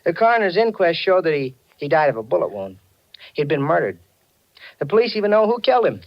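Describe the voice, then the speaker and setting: An actor playing a chatty, sheepish garage attendant with a hesitant, rambling manner in a 1940s radio mystery. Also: male voice, on the high side, big pitch swings, quick pace. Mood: gossipy. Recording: slightly muffled old radio recording.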